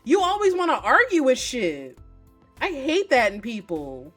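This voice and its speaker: A woman live streaming a visual novel, reacting in casual, slangy, excitable commentary with plenty of swearing and loud exclamations. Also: sits high, measured pace, soft background music. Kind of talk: game commentary, casual reactions between reading aloud.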